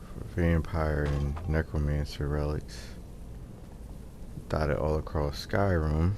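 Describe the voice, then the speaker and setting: A man's voice: rumbling low, fairly even intonation, takes it slowly, noisy background. A man giving casual, informal gameplay commentary, often muttering to himself as he plays. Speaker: gamer commentating a playthrough. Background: video game ambience.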